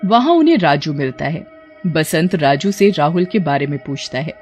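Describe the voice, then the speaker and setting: A woman's voice: low-pitched, animated, moderate pace, darkish tone, faint music behind. A woman reading scripted narration for a horror cartoon story.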